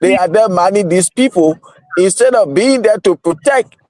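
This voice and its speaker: A man's voice: high voice, animated, moderate pace, a little mellow, dry recording, clean studio sound. A man hosting a radio talk show, pressing his guest with insistent questions. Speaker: radio host. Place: radio studio.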